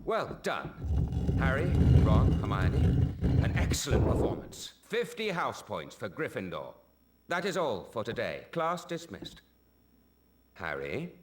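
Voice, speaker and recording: An actor playing a kindly, ailing British wizard teacher in a video game, polite and measured. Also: male voice, medium pitch, huge pitch swings. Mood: pleased. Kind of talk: video game cutscene acting. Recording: clearly noisy with clicks.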